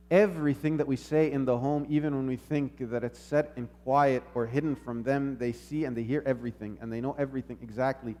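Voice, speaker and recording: A man giving a sermon in a measured, reflective manner, posing rhetorical questions and repeating phrases as he teaches. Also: medium pitch, a little mellow. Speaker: preacher.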